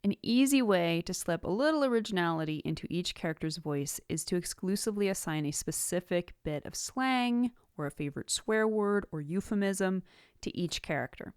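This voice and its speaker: Female podcast host, slightly low register, scripted teaching narration, dry close-miked sound. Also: expressive, normal speed, darkish tone, clean tone, dry recording. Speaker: writing podcast host and author.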